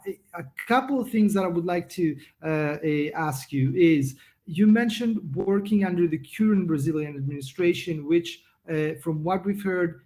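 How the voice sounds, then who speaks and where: A man who is not a native English speaker, moderating an online panel over a video call and pausing often with fillers and restarts. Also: fairly high pitch, darkish tone. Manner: hesitant, many fillers and restarts.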